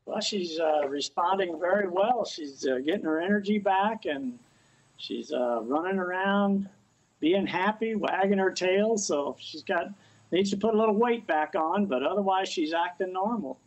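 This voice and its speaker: A man's voice: high voice, low-quality recording. Plain-spoken man on a video call. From Missouri. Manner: plain, unhurried, pauses with "uh". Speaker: dog owner, interview guest. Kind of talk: casual remote interview. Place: outdoors on a house porch.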